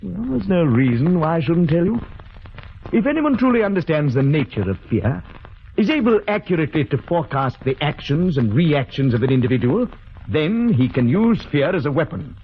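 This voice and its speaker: An actor playing a calm, villainous doctor, polite and clinical, in 1940s radio drama style. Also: male voice, a little high. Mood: coldly menacing. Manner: polite, measured, hesitant pauses, clinical. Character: calm, cold, calculating villainous male doctor, smugly scientific. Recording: muffled, noisy vintage radio recording.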